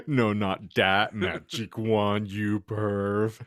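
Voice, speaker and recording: A man hosting a podcast, giving a theatrical, deliberately stumbling comic reading of fan fiction. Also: lowish voice, no echo, clean studio sound. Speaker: podcast host.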